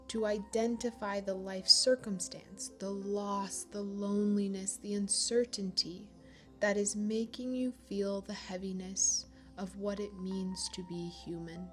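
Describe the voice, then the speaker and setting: A woman leading a guided meditation in a gentle, soothing manner, with pauses between phrases. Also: medium pitch. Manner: slow, gentle, soothing delivery with long pauses.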